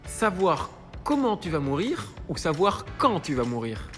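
A man hosting off camera, reading playful quick-fire questions in a brisk manner. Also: a little high, very animated, fairly bright tone, soft background music. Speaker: interviewer host.

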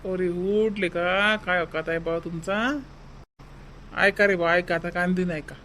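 A man's voice: high voice, steady tone, a little slow, sharp tone, slight background noise, full character voice. A man voicing a grumbling, henpecked village husband in a comedy cartoon, folksy. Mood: showy.

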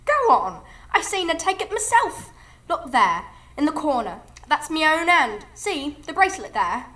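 A woman's voice: high voice, very animated, unhurried, bright, forceful tone, exaggerated voice. A woman playing a cheeky, chatty working-class London girl in a radio drama. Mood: eager, insistent. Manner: rambling, artless, colloquial. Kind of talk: live radio drama acting. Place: live stage before an audience.

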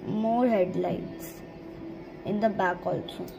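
A woman's voice: medium pitch, slow, gravelly, rough recording. A woman giving a step-by-step digital drawing tutorial, speaking in simple, short, broken instructions over a screen recording. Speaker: art teacher. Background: background music.

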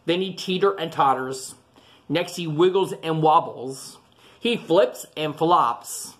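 A man in his forties reads a children's storybook aloud in a classroom, close to a webcam. He reads slowly and in a slightly high voice, stopping often to explain the pictures to young listeners. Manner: animated, explains the story to children between pages. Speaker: teacher reading a picture book aloud. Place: classroom, indoors. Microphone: webcam at close range.

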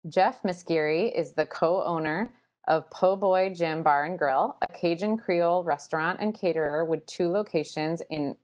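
An American woman in her thirties hosting an online panel over a video call, partly reading out introductions through an earbud mic. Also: fairly low pitch. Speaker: panel moderator. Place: small room at home, indoors. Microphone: wired earbuds on a video call.

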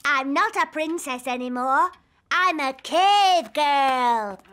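Wilful little cartoon girl, high-pitched, very slow emphatic delivery. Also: expressive, background noise. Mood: wilful, defiant. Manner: emphatic, drawn-out childish declarations. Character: bold, wilful, excitable little cartoon princess.

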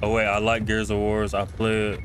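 A man's voice: on the low side, monotone, moderate pace, slight background noise. A man giving casual livestream reactions to a game in slangy, repetitive phrases with plenty of swearing. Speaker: game streamer.

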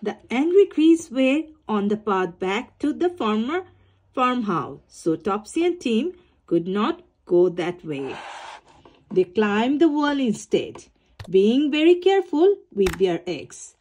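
A woman reading a children's picture book aloud, slowly, with small slips and restarts. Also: on the high side, very animated, darkish tone. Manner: slow, sing-song storytelling with small slips and restarts. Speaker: storybook reader.

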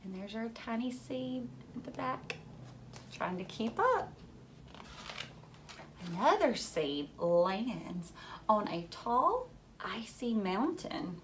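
Slow, expressive woman reading a picture book. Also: on the high side, slight background noise. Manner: expressive, drawn-out storybook delivery. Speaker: teacher reading aloud to children. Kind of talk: picture-book read-aloud for young children with questions and asides. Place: furnished living room at home, indoors.

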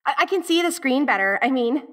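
A woman's voice: high-pitched, animated, brisk, fairly bright tone. A woman playing a dorky tsundere ghost girl from the eighties in an audio roleplay, with an American valley-girl accent and a gushing, hesitant, slangy manner. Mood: shy, hesitant. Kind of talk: audio roleplay acting, speaking directly to the listener.

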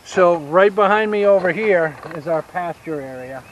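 A man in his fifties with a Southern US accent, giving a casual outdoor tour of his homestead and explaining each step with pauses. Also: high voice, highs cut off, slight background noise. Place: outdoors on rural land by a pine forest. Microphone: action camera held at arm's length.

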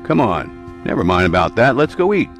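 Sly, folksy old loner played by a man, old-time radio horror drama, animated intonation, music underneath. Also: mid-range voice, moderate pace. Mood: mild, evasive. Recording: music behind the voice.

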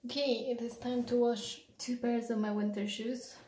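A woman's voice: on the high side, level tone, normal speed, warm tone, slight background noise, low-quality recording. A young woman in her twenties chatting casually to camera at home, easygoing and explaining as she goes; English is not her first language. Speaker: vlogger. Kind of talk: casual vlog chat. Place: home bedroom, indoors.

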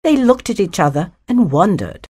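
A woman narrating a children's story from a script, in an expressive storybook manner. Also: mid-range voice, normal speed, slightly rough.

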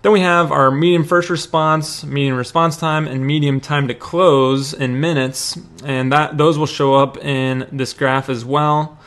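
Higher-pitched young man giving a demo. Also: fairly even intonation, unhurried, few pauses, a bit bright. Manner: informal, conversational. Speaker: product demo presenter. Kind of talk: casual screen-recorded software demo.

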